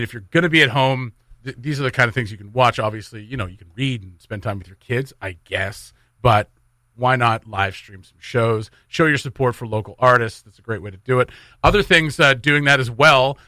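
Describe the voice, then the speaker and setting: Bright-voiced man chatting on morning radio. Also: mid-range voice, a little fast, dry recording. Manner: joking, loose and rambling. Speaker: radio morning show host. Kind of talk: casual radio chat with community announcements.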